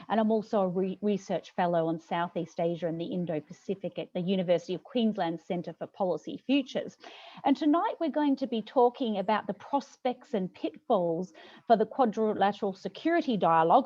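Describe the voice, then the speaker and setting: A woman in her thirties hosts a formal event, speaking at a middle pitch with frequent hesitations. The recording is a little dull and lo-fi. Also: dark tone. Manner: hesitant, with many fillers and restarts. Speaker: event host, moderator.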